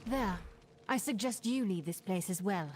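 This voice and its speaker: A woman's voice: medium pitch, moderate pace, darkish tone, slight background noise, natural voice. A woman voicing a brash, proud young demon hunter in a video game, blunt and commanding. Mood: brusque, determined. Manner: blunt, commanding, self-assured.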